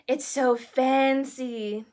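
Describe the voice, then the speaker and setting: A woman voicing an eager, excitable five-year-old princess in a storybook reading. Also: high-pitched, drawn-out words. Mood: excited wonder.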